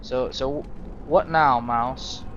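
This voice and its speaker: A man's voice: medium pitch, steady tone, drawn-out words. A man giving casual, half in-character game commentary, hesitant and a little wry. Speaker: gamer narrating a role-play playthrough. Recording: dull lo-fi mic, clear background noise.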